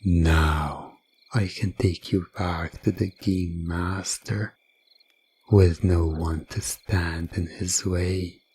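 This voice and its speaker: A man playing a sinister, gloating hypnotist in a hushed, coaxing and commanding hypnosis ASMR drama. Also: low voice, a little hiss or hum. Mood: smug, commanding. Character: sinister, gloating male hypnotist serving a game master.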